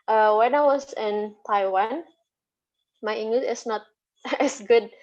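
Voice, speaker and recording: Woman, Indonesian accent, Sundanese native speaker, higher-pitched voice, slow halting delivery, casual interview. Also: a little mellow. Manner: hesitant, halting, repeats words. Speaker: lecturer being interviewed. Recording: dull, lo-fi audio.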